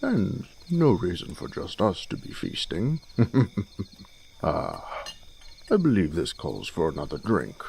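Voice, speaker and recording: A man playing an older fatherly lord in a fantasy audio drama, genial and courtly in a measured way. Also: deep voice, huge pitch swings, vocal fry, darkish tone, a little hiss or hum, heavily acted. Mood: hearty, welcoming.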